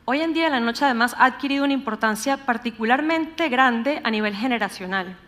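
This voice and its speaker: Female conference speaker, young adult, Caracas Venezuelan accent, higher-pitched voice, measured and explanatory delivery of a prepared talk. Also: fairly bright tone. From Venezuela, grew up in Caracas. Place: on stage at a live talk event.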